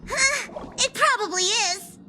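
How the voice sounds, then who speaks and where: A woman's voice: very high voice, slow pace. A woman voicing a small, cute, innocent and anxious creature in a video game. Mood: puzzled. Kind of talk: video game cutscene acting. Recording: loud music over voice.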